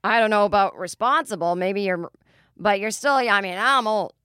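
A woman's voice: medium pitch, moderate pace, sharp tone, clean tone, dry recording, clean studio sound, natural voice. A woman doing a blunt, dismissive older doctor.

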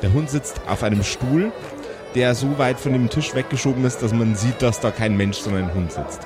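A man's voice: medium pitch, moderate pace, over music, crowd noise. A man narrating an improvised pen-and-paper audio play as game master.

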